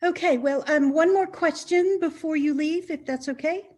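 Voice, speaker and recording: Actress doing awkward polite interviewer lady, high pitched, apologetic and unsure, mystery comedy. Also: normal speed, a little mellow, lightly acted. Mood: hesitant, coaxing. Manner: hesitant, apologetic. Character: polite, awkward, nervous female interviewer investigating a disappearance. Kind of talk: comedic mystery acting in an online play.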